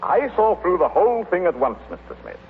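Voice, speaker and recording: A man's voice: high voice, huge pitch swings, a little fast, creaky, exaggerated voice. An actor playing a pompous, shrewd businessman in an old-time radio comedy, formal and blustery with much hemming. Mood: smug, insistent. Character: pompous, shrewd businessman, self-satisfied and suspicious. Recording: noisy, tinny old-time radio recording.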